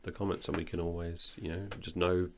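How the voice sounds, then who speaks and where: A man co-hosting a relaxed, informal conversation in an indoor studio. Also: sits low, brisk, a little hiss or hum. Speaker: co-host, film and audio drama creator. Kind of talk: casual chat.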